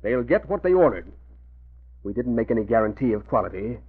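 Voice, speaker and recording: A man playing a smug, calculating racketeer who speaks in an unhurried way, in 1940s radio acting. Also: medium pitch, sing-song, slightly rough. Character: scheming male racketeer, smug and cold. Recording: tinny old radio broadcast.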